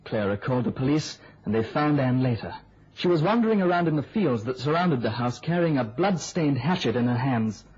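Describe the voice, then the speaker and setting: Muffled old radio play: keen young reporter, breezy storyteller, very husky. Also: male voice, mid-range voice, expressive, fairly quick, a little mellow. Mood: grave, relishing. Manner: chatty, storytelling, slightly theatrical. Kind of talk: mid-century radio drama acting.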